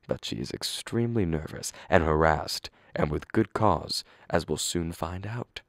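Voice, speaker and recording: A man's voice: low voice, measured pace, dry recording, studio quality. A man narrating a radio play, reading stage directions from a script.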